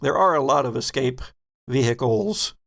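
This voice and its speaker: Male tabletop horror narrator, mid-pitched, plenty of fry in the voice, descriptive delivery. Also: animated, normal speed, a bit bright. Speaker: host and game master.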